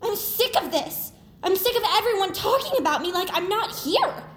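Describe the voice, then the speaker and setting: A woman performs a fed-up, emotional teenage girl in a very high voice. The delivery is earnest and exasperated, as in audio drama, with echo and music underneath. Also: very animated, normal speed, a bit bright, a little hiss or hum, heavily acted. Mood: furious. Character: fed-up, conflict-avoiding teenage girl finally speaking her mind.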